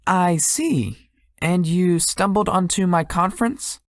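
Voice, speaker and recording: A woman's voice: lowish voice, slow pace, no room sound, studio quality. A woman voicing a shy, polite young male tech executive in a visual novel, slightly formal and hesitant in manner. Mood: polite, curious. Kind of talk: visual novel character dialogue.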